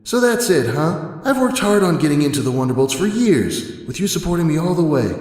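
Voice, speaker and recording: A man's voice: on the high side, sing-song, a little fast, a little mellow, roomy sound, long reverb tail, voice pushed up. A man voicing a brash, stubborn female pegasus pony with a blunt, impassioned delivery in a dramatic story reading. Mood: hurt, angry. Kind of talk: dramatic fan fiction reading.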